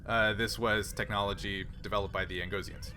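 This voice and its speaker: A man acting as game master, explaining the situation of a sci-fi tabletop game in a halting, improvised way. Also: lowish voice, fairly even intonation, slight background noise.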